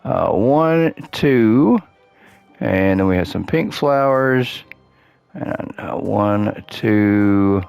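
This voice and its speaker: A man's voice: lowish voice, animated, extremely slow, gravelly, a little mellow, clear, resonant tone, faint music behind. A man hosting a gaming channel, chatting casually while he plays, his voice cracking and worn as he loses it. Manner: chatty, upbeat, thinks aloud while playing. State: losing his voice. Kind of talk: casual gameplay commentary.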